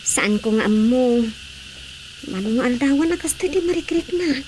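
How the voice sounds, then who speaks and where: A woman's voice: high voice, expressive, normal speed, a little mellow. A woman playing a fretful, evasive young daughter in an Ilocano radio drama, with faint noise behind her. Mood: worried.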